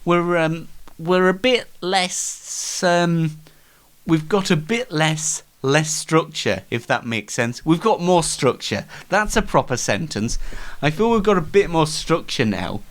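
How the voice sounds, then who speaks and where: Slow-paced male comedy host, lively pitch. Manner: self-deprecating, halting. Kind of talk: casual, scripted comedy interview and chat.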